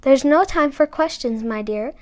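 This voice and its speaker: Kindly fairy godmother for a fairy tale, high-pitched, gentle, reassuring female reader. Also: moderate pace, natural voice. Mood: urgent. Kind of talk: storybook character voice.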